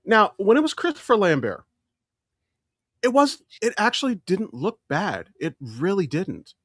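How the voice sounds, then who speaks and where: A man's voice: high-pitched, big pitch swings, unhurried, fairly bright tone, no echo, studio quality. A man co-hosting a podcast in casual pop-culture chat, emphatic and opinionated, swearing freely. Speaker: podcast co-host.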